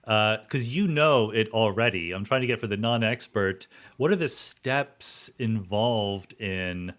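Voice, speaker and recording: Guy hosting a podcast, somewhat low voice, casual curious questions, rewords stuff as he goes. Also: a little slow, a bit bright. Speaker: podcast host and interviewer. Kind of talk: conversational interviewing.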